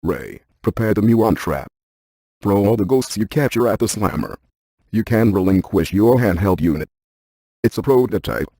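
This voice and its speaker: A man voicing a brainy, unflappable ghost-hunting scientist in a video game, with precise, clinical, deadpan delivery. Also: lowish voice, moderate pace, breathy edge, no room sound. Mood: clinical, matter-of-fact. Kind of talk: scripted game voice lines.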